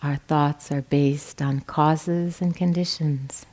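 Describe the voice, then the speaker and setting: A woman teaching meditation, giving gentle, measured guidance with pauses between phrases. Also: low voice, fairly even intonation. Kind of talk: guided meditation instruction.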